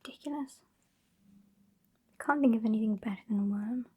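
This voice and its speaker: A woman's voice: a little high, brisk, narrow, tinny audio. A woman playing a haughty, sassy female vampire with a British accent in a whispered ASMR roleplay, condescending and exasperated. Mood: exasperated scorn. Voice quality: whispery, breathy. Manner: condescending, exasperated, softly scolding. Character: sassy, haughty old female vampire scolding her fledgling.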